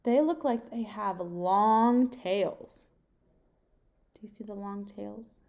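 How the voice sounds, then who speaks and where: A young adult woman reading a picture book aloud like a teacher, pausing and putting questions to her young listeners. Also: medium pitch, a little mellow. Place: home kitchen, indoors.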